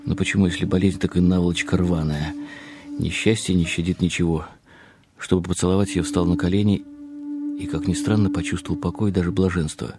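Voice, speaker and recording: A man narrating a first-person radio drama in an expressive, confiding, slightly wry way. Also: deep voice, brisk, over music. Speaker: narrator and lead actor.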